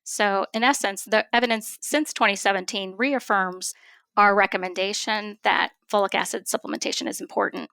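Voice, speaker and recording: A woman speaking as an expert physician guest in an interview, explaining things in a measured, formal and precise way. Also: medium pitch, fairly even intonation, vocal fry, sharp tone, clean tone, dry recording.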